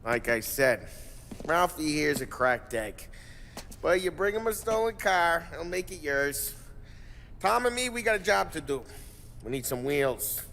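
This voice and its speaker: A man's voice: a little high, sing-song, a little slow, slight background noise, exaggerated voice. An actor playing a cocky, teasing male mobster in a video game. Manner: wisecracking, teasing, laughs loudly.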